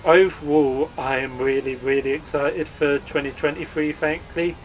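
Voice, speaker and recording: A man's voice: on the high side, fairly even intonation, normal speed, slight background noise, poor audio. A man hosting a gaming channel, giving an earnest, upbeat channel update read as a voice-over.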